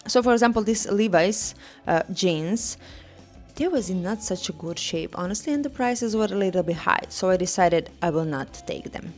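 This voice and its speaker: Soft-spoken woman, non-native English voice-over. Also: lowish voice. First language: not English; Swedish is her third language. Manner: non-native English with grammar slips and fillers. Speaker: vlogger. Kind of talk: first-person voice-over of a store walkthrough. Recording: voice-over with faint music behind.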